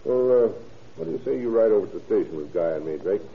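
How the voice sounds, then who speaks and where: Stern dry american cop questioning people, old radio drama, phone-like noisy sound. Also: male voice, fairly low pitch, lively intonation, fast, creaky. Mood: dry, noncommittal. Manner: dry, probing, authoritative. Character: probing police lieutenant, wry, controlled and stern. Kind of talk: old-time radio detective acting. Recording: tinny, noisy vintage radio recording.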